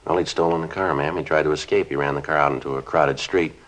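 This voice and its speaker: An actor playing a terse, matter-of-fact American police sergeant in an old radio crime drama, with a flat, businesslike delivery. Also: male voice, very deep voice, fast. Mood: solemn, restrained. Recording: dull, band-limited old radio recording.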